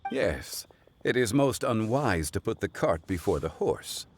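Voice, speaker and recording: An actor voicing a composed, erudite gentleman in a video game, speaking in a formal, measured and thoughtful manner. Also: on the low side, lively intonation, very noisy. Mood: reflective. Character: composed, erudite, gentlemanly man of old-fashioned wisdom.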